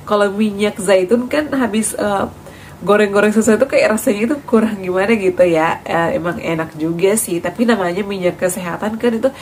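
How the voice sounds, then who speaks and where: A chatty woman talks straight to her viewers in a relaxed vlog style, full of small fillers and restarts. Her voice sits at a middle pitch, and there is a faint hiss behind her. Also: brisk. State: recovering from cough and lost voice. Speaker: vlogger. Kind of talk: casual health vlog talk to viewers.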